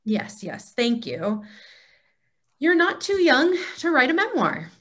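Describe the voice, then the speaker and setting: A woman's voice: high-pitched, big pitch swings, takes it slowly, a bit bright, exaggerated voice. A woman playing a wry, playful God who chats on a video call, in a reader's theatre comedy. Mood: serene, playful.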